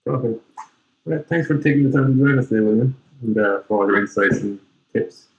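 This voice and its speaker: A man hosting a casual interview, talking in a relaxed, loose way with lots of fillers. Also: mid-range voice, brisk, muffled recording. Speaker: podcast host.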